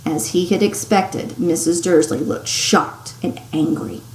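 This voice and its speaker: A woman teacher reading a story aloud to children in an expressive, animated way with dramatic emphasis. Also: lowish voice, measured pace. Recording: echoey room with clear background noise.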